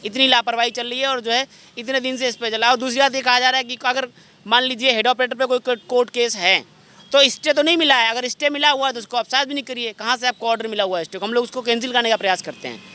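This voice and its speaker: A man's voice: very high voice, fairly even intonation, fast, pushed and bright, clean tone. A young man, indignant and insistent, complaining as a candidate in a street protest interview.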